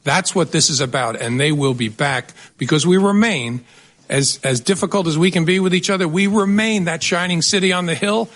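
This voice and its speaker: A man's voice: on the high side, a little fast, a bit bright. A man giving public testimony in an earnest, emphatic way.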